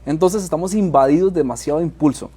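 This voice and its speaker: Male guest, mellow and husky, thoughtful. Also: a little high, fast. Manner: thoughtful, hesitant starts. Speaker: financial advisor guest. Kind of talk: interview answers.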